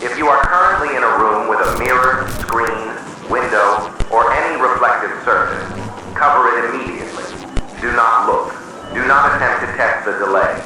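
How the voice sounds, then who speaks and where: A man reading a scripted horror emergency announcement in a solemn, measured, official manner. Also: mid-range voice. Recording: distant, heavily echoing, noisy emergency broadcast.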